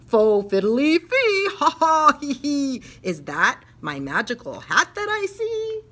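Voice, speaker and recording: A woman's voice: high-pitched, huge pitch swings, slow pace, fairly bright tone, voice pushed up, heavily acted. A woman voicing a crafty, gleeful little male leprechaun who taunts in sing-song rhymes for a children's storybook. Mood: giggly, teasing.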